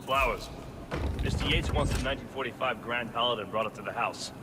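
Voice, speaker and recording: A bossy game henchman voiced by a man with a medium-pitched voice. He is terse, impatient and dismissive, with loud ambient noise behind him. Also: brisk, natural voice. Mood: curt, impatient. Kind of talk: video game cutscene acting.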